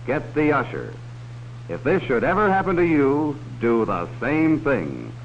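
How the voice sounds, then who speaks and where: Cautionary male narrator, 1950s educational film, folksy direct address, mid-pitched, dull slightly noisy old recording. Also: sing-song, measured pace. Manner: folksy, direct address to children with leading questions. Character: cautionary male adult narrator warning children about strangers. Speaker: narrator of a children's safety film.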